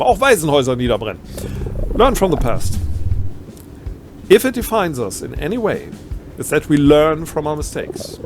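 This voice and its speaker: A man's voice: high voice, creaky. A man with a German accent doing a let's play, reading game text aloud and adding wry, ironic asides. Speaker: let's player.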